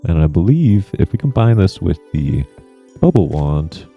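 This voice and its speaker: Deep-voiced man, rambling gameplay talk. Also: very animated, measured pace, gravelly, husky, muffled recording, faint music behind. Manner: rambling, soft-spoken, reflective. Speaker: gaming channel host. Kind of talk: relaxed gameplay commentary.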